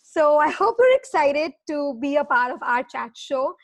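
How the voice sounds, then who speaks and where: A woman's voice: sits high, lively intonation, a little slow, dry recording. A woman from India co-hosting a casual chat show, bubbly and eager as she asks questions. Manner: bubbly, eager interviewer with fillers. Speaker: co-host.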